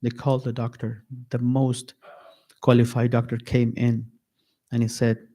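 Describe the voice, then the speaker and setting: Slow, emphatic male preacher. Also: mid-range voice, completely flat delivery, warm tone. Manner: slow, emphatic, repeats key phrases with pauses. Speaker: sheikh giving a mourning sermon. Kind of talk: sermon. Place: Islamic center hall.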